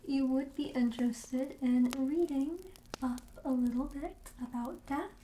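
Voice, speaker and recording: A friendly, slightly morbid witch shopkeeper played by a woman in an ASMR roleplay, with a high, mellow, breathy voice. She speaks slowly and softly, often slipping into a whisper as she gently coaxes a customer toward her curiosities. The sound is roomy and echoey. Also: a little hiss or hum. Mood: softly matter-of-fact. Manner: gentle, coaxing sales patter with casual hesitations.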